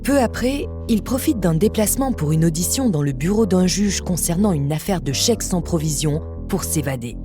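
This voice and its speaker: Low-pitched woman narrating true crime over music. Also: animated, moderate pace, hardly any pauses. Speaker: podcast narrator.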